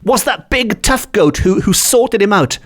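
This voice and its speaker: A man's voice: sits high, lively intonation, unhurried, fairly bright tone. A man from Wales hosting a storytelling podcast, retelling a folk tale conversationally with chatty asides, repeated phrases for emphasis and self-corrections.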